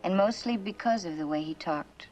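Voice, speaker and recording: An actress playing a guarded, world-weary woman, terse and measured, in a vintage suspense drama. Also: on the low side, a little mellow, soft background music, lightly acted, poor audio. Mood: wistful. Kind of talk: mid-20th-century suspense drama acting.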